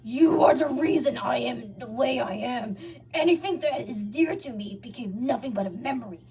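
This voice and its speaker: A woman voicing a bitter, vengeful male hedgehog, a fallen hero with a forceful growling delivery. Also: on the high side, moderate pace, far from the mic, a crowd in the background, lightly acted.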